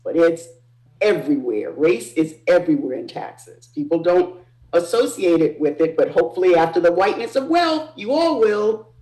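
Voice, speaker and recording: A woman's voice: lowish voice, big pitch swings, moderate pace, warm tone. A woman author explaining ideas on a panel, making emphatic points in parallel phrases. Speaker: author discussing own book. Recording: roomy, echoing sound.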